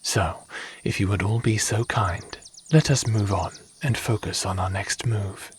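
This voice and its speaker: A man playing a calm, dignified, diplomatic god in an audio roleplay, speaking in a formal, measured and gently admonishing way. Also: fairly low pitch, close to an everyday voice. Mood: weary diplomacy.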